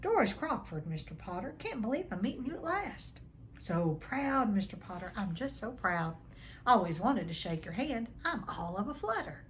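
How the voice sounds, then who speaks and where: A woman's voice: medium pitch, very animated, normal speed, a bit bright. A reader puts on an elderly storybook woman, gushing and all in a fluster. Faint noise sits on the home recording. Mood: flustered, proud.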